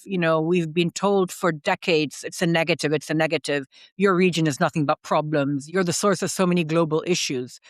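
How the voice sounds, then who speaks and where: A woman hosting her own podcast reflects in an earnest, measured way, pausing on fillers and restarting her sentences. Her voice is smooth and resonant, recorded close and dry with no room sound. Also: fairly low pitch, dry recording. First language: English. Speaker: podcast host.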